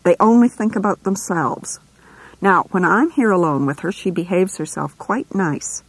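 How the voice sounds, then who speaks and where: A woman's voice: mid-range voice, husky, dry recording, rough recording. A woman reading aloud, voicing a bossy, falsely polite middle-aged nurse who scolds and condescends in a sing-song way. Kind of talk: audiobook reading.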